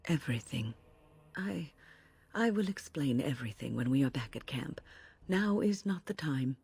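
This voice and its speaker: An actress playing a composed, wise older female mage in a video game, measured, formal and gently wry. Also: rumbling low, lively intonation, creaky, slightly rough, full character voice. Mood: shaken, grave.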